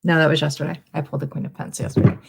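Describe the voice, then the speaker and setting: A woman's voice: sits low, level tone, rapid-fire, creaky, breathy edge. A woman chatting casually as a guest tarot reader, hesitant and thinking aloud. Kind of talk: casual chat.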